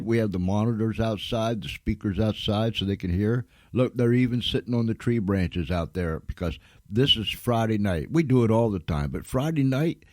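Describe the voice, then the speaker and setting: A man's voice: mid-range voice, dry recording. A man hosting an informal comic radio show, with a folksy, joking, chuckling way of talking. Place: radio studio.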